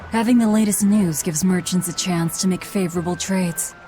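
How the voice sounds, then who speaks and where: A woman's voice: lowish voice, moderate pace, mellow, natural voice. A woman playing a cold young female fugitive in a game, with a curt, blunt manner. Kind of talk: dramatic game voice acting.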